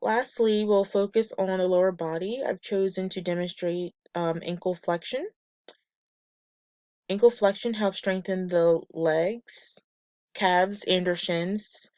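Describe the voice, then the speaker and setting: A woman presenting a class lesson from slides, a bit nasal and raspy from a cold, reading steps and lists in a measured way. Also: lowish voice, fairly even intonation, a little mellow, no room sound. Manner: measured, reads steps and muscle lists methodically. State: getting over a cold, slightly nasal and raspy. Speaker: student presenter.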